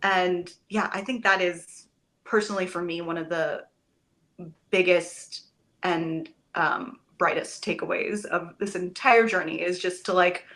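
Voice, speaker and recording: A woman, a Broadway actress, chatting as a podcast guest, telling stories with lively enthusiasm and the odd casual swear word. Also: lowish voice. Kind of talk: casual podcast interview chat.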